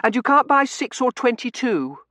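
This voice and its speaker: A bossy little Victorian girl, acted by a man narrating a storybook. The pitch sits very high and leaps about. The delivery is whiny, insistent and sure of being right. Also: big pitch swings, moderate pace, bright, forceful tone, no room sound, studio quality, full character voice. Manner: wailing, insistent, self-righteous. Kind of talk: audiobook character acting.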